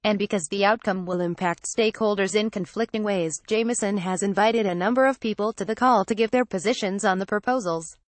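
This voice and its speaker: A woman narrates a written news report in a formal, read-aloud manner. Her pitch sits in the middle range for a woman, and the sound is very dry, with no room around it. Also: brisk, hardly any pauses, no echo. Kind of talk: scripted narration of a news article.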